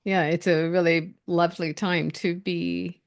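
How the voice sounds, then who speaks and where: A middle-aged woman hosts a podcast conversation in a slightly low voice. She affirms what her guest says and moves into her next question gently and conversationally. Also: little pitch movement, unhurried. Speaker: podcast host. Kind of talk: interview conversation.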